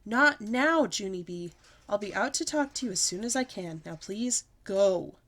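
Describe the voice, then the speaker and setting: A woman's voice: fairly high pitch, expressive, quick pace, dark tone. A woman voicing a tired, grumpy mother who speaks curtly and firmly. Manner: curt, firm.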